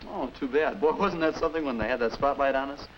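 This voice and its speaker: An actor playing a friendly, chatty high school boy with an American accent, breezy and folksy, in a 1950s instructional film. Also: quick pace, lightly acted, low-quality recording. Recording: hissy old 1950s film soundtrack.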